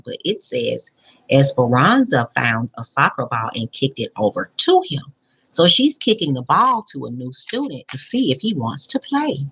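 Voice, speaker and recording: A woman reading a children's picture book aloud as a school principal, pausing to explain and put questions to young listeners. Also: very deep voice, slightly rough, dull audio.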